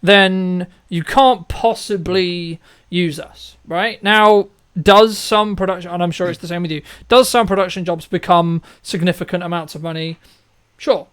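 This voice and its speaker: High-pitched man, emphatic interviewer. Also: a little slow, fairly bright tone, clean tone. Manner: emphatic, rhetorical, often checks with "right?". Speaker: interview host and filmmaker. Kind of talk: conversational business interview.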